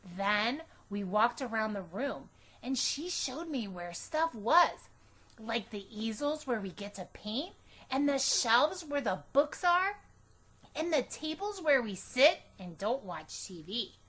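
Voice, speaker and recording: A woman reading a children's book aloud as a storytime reader, expressive and deliberate, telling it in a childlike first person. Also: a little high, slightly rough.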